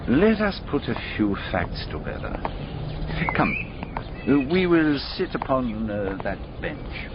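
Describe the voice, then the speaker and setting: An actor playing a shrewd, methodical foreign detective in a radio drama, deliberate and courteous, musing aloud in rhetorical questions. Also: male voice, mid-range voice, big pitch swings. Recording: dull radio recording, clearly noisy background.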